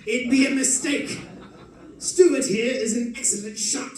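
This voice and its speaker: A man's voice: extremely high pitch, a little slow. A man playing a suave, mocking escaped-convict villain in an old-time radio crime drama, silky and taunting. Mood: coolly mocking. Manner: silky, taunting, mock-courteous, chuckling. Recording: echoey live stage, distant mic, faint noise.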